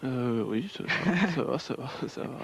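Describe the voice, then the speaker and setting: A man's voice: medium pitch, lively intonation, measured pace, slight background noise. A young man giving spontaneous interview answers, very hesitant and often repeating words. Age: young adult. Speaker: student interviewee, apprentice.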